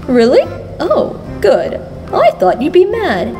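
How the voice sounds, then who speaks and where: A woman's voice: high voice, wildly swooping pitch, slow, dark tone, heavily acted. A woman voicing a flamboyant, obsessive, shameless rich woman in a theatrical cartoon comic dub. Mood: excited. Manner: gushing, theatrical. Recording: long reverb, music behind.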